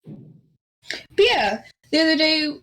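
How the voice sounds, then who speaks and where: A woman's voice: high voice, sing-song, slow, fairly bright tone, low-quality recording. A young woman from California, chatting playfully with friends on a voice call and laughing often. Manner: giggly, playful, teasing. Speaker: podcast co-host. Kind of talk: casual chat among friends. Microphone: own mic on a voice call.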